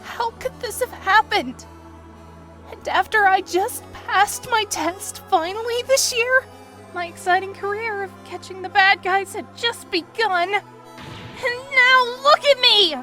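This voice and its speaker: A young woman playing an excitable, earnest young detective in a video game fan dub, with expressive, peppy delivery. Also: extremely high pitch, takes it slowly, soft background music, full character voice. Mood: distraught.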